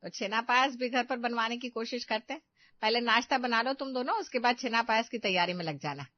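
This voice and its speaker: A woman's voice: fairly high pitch, fairly quick, no room sound, dull audio, close to an everyday voice. A woman voicing a proud older mother-in-law in a family story, chatty and fond of giving instructions. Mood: bossy.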